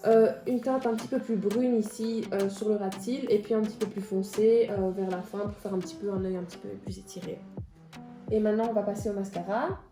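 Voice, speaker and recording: A woman's voice: medium pitch, steady tone, fairly quick, echoey room, over music. A woman working as a makeup artist, explaining the steps casually with many fillers.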